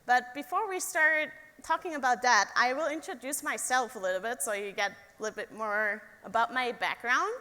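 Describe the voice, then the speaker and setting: A woman's voice: on the high side, normal speed, few pauses, bright, forceful tone. A young woman from Germany with a German accent, giving a friendly talk to an audience as an engineer and often asking them questions. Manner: friendly, asks the audience questions, many ums. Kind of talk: presentation to an audience. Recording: reverberant hall.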